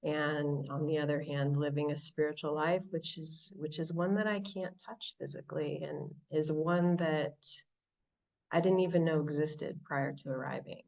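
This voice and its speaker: A low-pitched American woman shares her recovery story at an online meeting, thoughtful and halting with frequent restarts. She sounds set back from the microphone, a little distant in the recording. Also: fairly even intonation, vocal fry, a little mellow. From Southern California. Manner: reflective, halting, many restarts and fillers. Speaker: speaker sharing at a recovery meeting.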